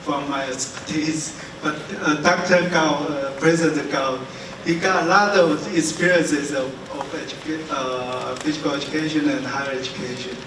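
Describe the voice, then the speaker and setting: A man's voice: on the high side, fairly even intonation, normal speed, hardly any pauses. A man, a conference speaker answering questions from the floor off the cuff. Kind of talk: unscripted question-and-answer talk. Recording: echoey hall, distant mic, noisy.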